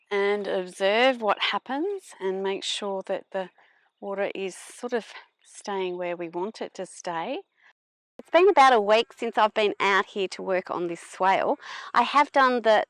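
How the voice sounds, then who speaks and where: A middle-aged woman narrating a farm vlog in a plain-spoken, unhurried explaining manner. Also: medium pitch, no room sound. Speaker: vlogger, permaculture farmer.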